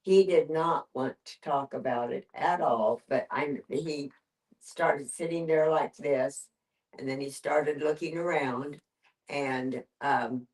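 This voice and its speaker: Older woman with a low voice, plain-spoken and conversational, sharing in a group, miked from a distance. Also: takes it slowly, a little mellow. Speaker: discussion group participant. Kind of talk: casual personal storytelling in a group. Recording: distant room microphone.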